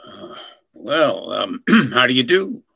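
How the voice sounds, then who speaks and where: A man's voice: medium pitch, sing-song, takes it slowly, gravelly, pushed and bright, slightly rough, full character voice. An actor playing an eager, smitten male detective partner in an old-time radio drama, bumbling and ingratiating. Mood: awkward, curious.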